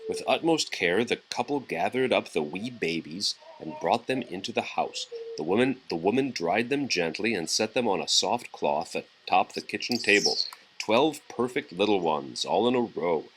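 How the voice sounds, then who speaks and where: A man's voice: on the low side, animated, normal speed, a bit bright, slight background noise, low-quality recording. A man reading a children's picture book aloud as a story for a young child.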